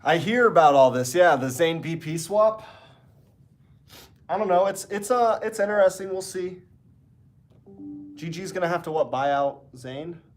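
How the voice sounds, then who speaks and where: A man with a stuffy, congested nose talks to his stream in a loose, rambling way. He sounds relaxed and chatty, drifting from one thought to the next as he speaks from a hotel room. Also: a little high, a little slow, long pauses. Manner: rambling, relaxed, talking to chat. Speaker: esports caster. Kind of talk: casual livestream chat.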